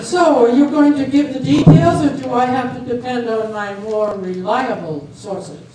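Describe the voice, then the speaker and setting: A woman's voice: a little high, moderate pace, lightly acted. An amateur actress, a woman playing a nosy, needling small-town reporter in a stage comedy reading, sly and wry. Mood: sly, probing. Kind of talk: amateur readers theater comedy acting. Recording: very echoey hall from far off, faint hiss.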